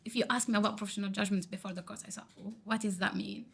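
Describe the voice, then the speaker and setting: A woman whose first language is Arabic, a student teacher answering podcast questions haltingly. She often restarts and searches for words. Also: medium pitch, steady tone, gravelly, husky.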